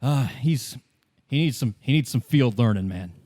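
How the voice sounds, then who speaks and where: A man's voice: medium pitch, animated, normal speed, mellow, natural voice. A man voicing a polite, history-proud father in improvised tabletop roleplay, chatty and stammering. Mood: proud, welcoming. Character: well-kept polite father, history-proud, flustered small talker.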